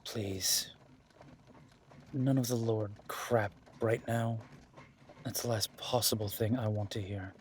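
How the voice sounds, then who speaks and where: A man playing a weary, guarded werewolf duke in a fantasy audio drama, speaking in a measured, dry way with a husky voice. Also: fairly low pitch, steady tone, slight background noise. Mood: weary, irritated.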